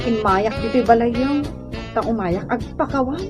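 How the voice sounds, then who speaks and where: Radio drama role, female performer: reformed nagging Ilocano wife, high voice, slow hesitant delivery. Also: music in the background, close to an everyday voice. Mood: contrite. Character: chastened nagging wife trying to mend her ways.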